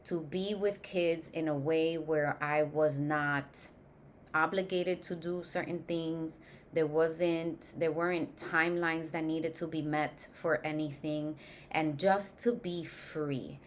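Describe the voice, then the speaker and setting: A woman in her forties, a course host and education founder, introducing herself in relaxed chat, with many ums and loose self-corrections. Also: low voice, slow pace, slight background noise. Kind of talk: personal introduction, casual talk to camera.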